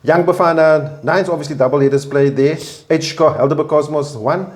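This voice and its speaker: Brief: South African male host, a bit high-pitched, breezy, laughs easily. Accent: South African English. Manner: upbeat, laughs easily, quick asides. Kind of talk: casual sports chat.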